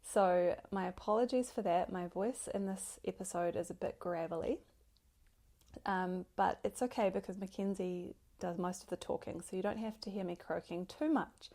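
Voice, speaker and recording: A woman hosts her podcast alone, talking in a grateful, effusive way with plenty of fillers. Her voice has a gravelly fry and a dark, mellow tone. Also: lowish voice, moderate pace. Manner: warm, gushing, grateful, with soft laughs and fillers. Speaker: podcast host. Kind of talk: solo podcast introduction.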